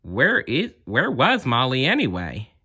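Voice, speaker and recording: A man voicing a loyal, eager male cartoon dog in an excitable, worried manner for a storybook. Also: medium pitch, unhurried, breathy edge, muffled recording, full character voice.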